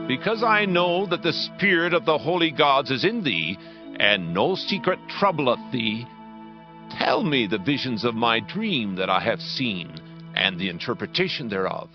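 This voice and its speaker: A man's voice: mid-range voice, big pitch swings, measured pace. An actor playing a proud, awestruck king of ancient Babylon, declaiming solemnly in a dramatized scripture reading. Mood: grave, troubled. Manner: grand, declamatory, solemn proclaiming. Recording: dull band-limited audio under loud music. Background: loud dramatic music.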